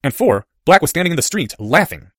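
A man voicing a bright, excitable, nerdy schoolboy who explains things eagerly and wordily in an audiobook story. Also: fairly high pitch, a little fast, no echo, studio quality, voice pushed up. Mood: intent explaining. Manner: animated, eager, wordy explaining.

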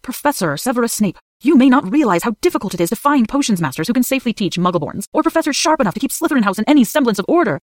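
A man's voice: very high-pitched, very animated, racing, hardly any pauses, no room sound, clean studio sound. A man voicing a strict older headmistress, clipped and pleading.

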